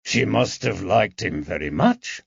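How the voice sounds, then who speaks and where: Man reading a kindly constable, soft coaxing questions, animated pitch, dry dull sound. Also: a little slow, gravelly, slightly rough, no echo, heavily acted. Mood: gentle. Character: gentle, patient male police constable. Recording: dull, dry audiobook recording.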